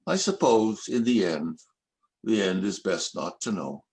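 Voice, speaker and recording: Slow, reflective older man reading poems. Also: on the low side, sing-song, darkish tone. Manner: slow, measured, reflective recitation. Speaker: poet reading his own work. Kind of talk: poetry reading.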